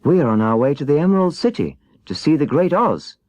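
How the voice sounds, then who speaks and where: Plucky storybook little girl, played by a man: forthright, earnest, raised pitch, lively swoops. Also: brisk, no room sound. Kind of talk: children's storybook character reading.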